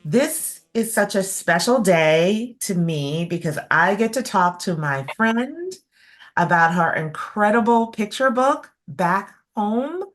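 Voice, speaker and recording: A woman hosting an interview, speaking in a measured, thoughtful way with frequent fillers over a video call. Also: medium pitch, lively intonation. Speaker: host.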